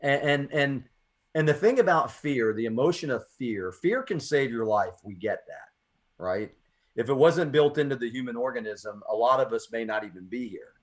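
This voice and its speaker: An American man with a medium-pitched voice hosts a poetry radio show. He talks earnestly and reflectively, putting emphasis on his phrases as he moves between conversation and spoken-word delivery. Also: lively intonation, moderate pace.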